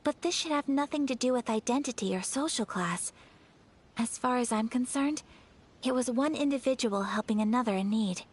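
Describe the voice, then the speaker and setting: High-voiced, refined young noblewoman. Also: slightly rough. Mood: modest, earnest. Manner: formal, measured, courteous. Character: refined young noblewoman, polite, earnest, gently reserved. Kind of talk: video game voice acting. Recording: game music behind the voice.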